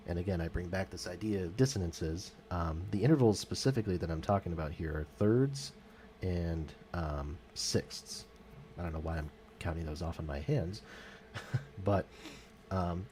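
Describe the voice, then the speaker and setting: A man's voice: low voice, darkish tone, slight background noise. A man talking casually about music history for his channel, with frequent ums and self-corrections. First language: English. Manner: hesitant, many fillers and self-corrections. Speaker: music vlogger. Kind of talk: casual spoken music commentary.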